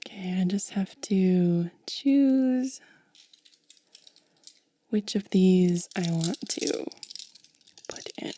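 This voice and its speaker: Breathy whispering woman, slow ASMR. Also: medium pitch. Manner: gentle, unhurried. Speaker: ASMR video creator and host. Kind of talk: soft-spoken ASMR. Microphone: close microphone.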